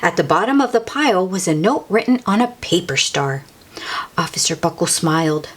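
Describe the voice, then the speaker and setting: A woman's voice: on the low side, animated, moderate pace. A woman reading a children's picture book aloud, with exclamations and chatty asides to young listeners. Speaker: storybook read-aloud host.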